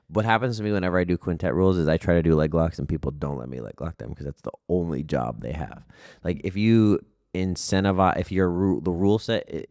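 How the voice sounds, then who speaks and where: A man with a very low voice talks as a podcast guest in an unhurried, monotone way. The sound is dry and close, with little room around it. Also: dry recording. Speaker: podcast guest, grappling competitor. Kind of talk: casual chat. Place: podcast studio.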